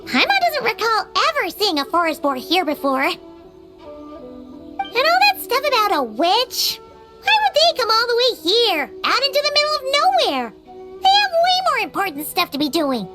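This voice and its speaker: A woman playing a tiny, excitable female fairy companion in a video game, with a squeaky childlike voice and an exclamatory way of talking. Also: moderate pace, loud music behind the voice, full character voice. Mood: curious, doubtful. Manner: speaks of herself in third person, exclamatory.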